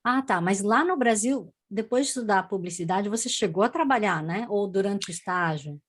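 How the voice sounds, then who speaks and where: A woman from Brazil hosting a podcast, asking questions in a lively, exclamatory way. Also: mid-range voice, normal speed, breathy edge. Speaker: podcast host. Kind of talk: casual interview chat.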